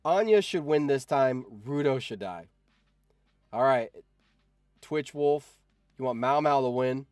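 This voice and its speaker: A man with a mid-pitched voice hosts a casual livestream. He thinks aloud and repeats himself as he addresses his viewers. The sound is close and dry, with music playing behind him. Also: animated, measured pace, pauses often, a little mellow, no echo. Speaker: livestream host.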